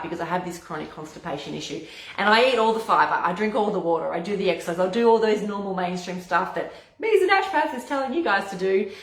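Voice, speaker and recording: A woman naturopath with a hoarse, husky voice, chatting casually to camera and often stumbling over and restarting her words. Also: lowish voice. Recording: echoey room.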